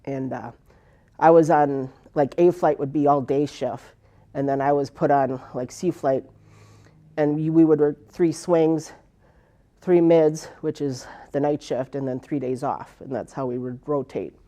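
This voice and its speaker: Older woman, military veteran, warm low-key tone, rambling oral-history telling. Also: fairly even intonation, a little slow. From United States. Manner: rambling, with many fillers and restarts. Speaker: Air Force veteran interviewee. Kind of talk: personal oral history interview.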